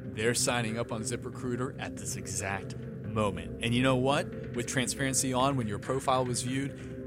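Mid-pitched man reading an ad. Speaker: ad co-presenter. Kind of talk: scripted conversational podcast ad read.

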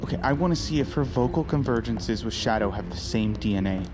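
Audio drama role: awestruck male researcher, acted by a man, mid-pitched, eager, halting delivery. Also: expressive, music in the background, lightly acted. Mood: eager focused. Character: awestruck, earnest, inquisitive male institute employee.

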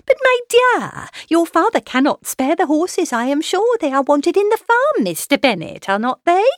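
Woman doing a gushy middle-aged mum from an old novel, fussy n insistent, voice shoots up high, very animated. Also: moderate pace, no echo, clean studio sound. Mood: pleading, insistent. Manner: exclaiming, insistent, fretful. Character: fussy excitable middle-aged mother, scheming and gushing. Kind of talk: dramatised classic novel reading.

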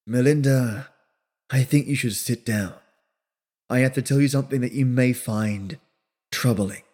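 Man voicing sullen young drifter, low, weary, rustic speech, storybook. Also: unhurried, darkish tone, natural voice. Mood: weary, somber. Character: sullen young male drifter, weary beyond his years.

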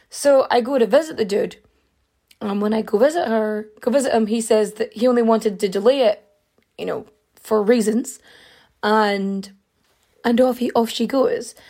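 Woman podcaster rambling through a true crime story, voice going a bit hoarse, fairly high but mellow. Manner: chatty, rambling, lots of fillers and asides. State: losing her voice. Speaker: podcast host.

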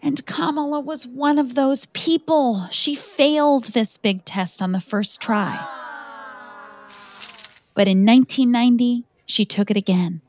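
A woman's voice: fairly high pitch, a little slow. A woman narrating a scripted biography as a children's story, explaining things in simple words.

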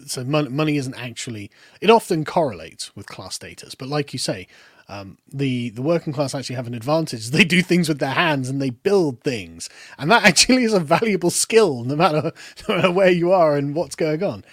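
A British man with a lower middle class accent, a guest talking in a casual political discussion, rambling with restarts and trailing off quietly. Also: animated, a little fast, dry recording, studio quality. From Britain.

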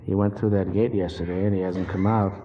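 An actor playing a weary, blunt detective in 1940s radio drama, talking in terse, hard-boiled slang. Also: male voice, sits low, fairly even intonation, fairly quick, close to an everyday voice. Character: weary, blunt, dogged male detective on a manhunt. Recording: dull, noisy 1940s radio recording.

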